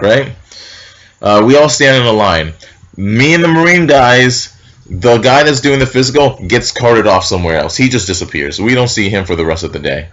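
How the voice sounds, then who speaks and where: Young man, loud heavy voice, profane storytelling. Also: lowish voice. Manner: animated, profane, acts out shouted quotes. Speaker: vlogger. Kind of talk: casual storytelling vlog. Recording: dull lo-fi sound.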